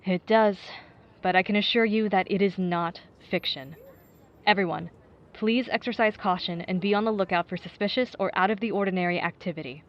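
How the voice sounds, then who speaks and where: An actress playing a serious, composed police detective giving measured, official answers in an audio drama news interview. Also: female voice, mid-range voice, highs cut off, close to an everyday voice. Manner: measured, official, matter-of-fact.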